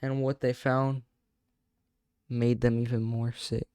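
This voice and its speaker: A man's voice: medium pitch, level tone, slow pace, dark tone, clean tone. A man reading a horror story aloud in a casual, stumbling way, breaking off to react. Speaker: video creator reacting and narrating.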